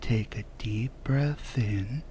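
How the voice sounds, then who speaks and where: A man softly guiding a relaxation visualization in ASMR style, with a breathy, whispery voice and a soothing, unhurried delivery full of pauses. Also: on the low side, a little hiss or hum. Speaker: guided relaxation narrator. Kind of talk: soft-spoken ASMR guided visualization.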